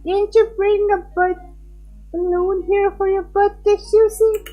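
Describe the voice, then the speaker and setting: A squeaky helium-voiced teacher. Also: male voice, flat delivery, unhurried, highs cut off, soft background music. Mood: suspicious. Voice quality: squeaky, high-pitched. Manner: fussy, bumbling then scolding. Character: flustered middle-aged male teacher on helium.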